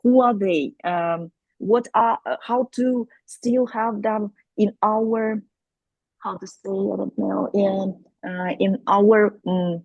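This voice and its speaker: A woman whose first language is Crimean Tatar, speaking up from the audience at a discussion, hesitant and pausing often with fillers as she searches for words. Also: medium pitch, steady tone, vocal fry, darkish tone, dry recording, dull audio. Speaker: audience member asking a question. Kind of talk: spontaneous discussion remarks.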